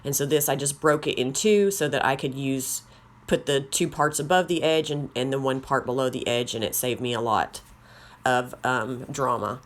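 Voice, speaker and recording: Woman, deep for her range, slightly raspy, rambling friendly tutorial host. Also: moderate pace. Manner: chatty, rambling, self-deprecating. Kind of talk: casual how-to narration.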